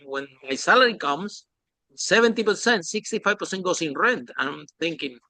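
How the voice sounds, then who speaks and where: A man in his forties hosts and tells his own story in animated, broken English that is not his first language. His voice is bright and forceful, sitting a little high for a man. The sound is dry and close. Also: moderate pace, no echo. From lived in London. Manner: animated, non-native grammar, frequent "okay?". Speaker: podcast host. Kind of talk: casual interview chat.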